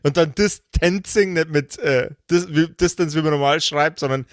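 A man's voice: fairly high pitch, normal speed, dry recording. A man playing in an improvised tabletop role-playing game, chatting casually in a joking, colloquial way.

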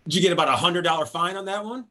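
A man's voice: high voice, rapid-fire, sharp tone. A man hosting a sports podcast with upbeat patter. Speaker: podcast host.

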